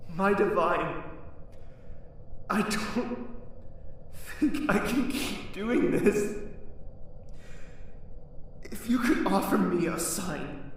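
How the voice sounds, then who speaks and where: A man's voice: high-pitched, a bit bright. An actor playing a desperate young man who pleads hopelessly with his god. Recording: echoey hall from far off, faint noise.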